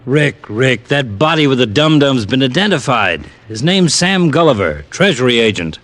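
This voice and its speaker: Blunt, exasperated radio police lieutenant. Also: male voice, medium pitch, sing-song, normal speed, a bit bright. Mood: businesslike. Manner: blunt, irritated delivery. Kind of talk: old-time radio detective drama acting.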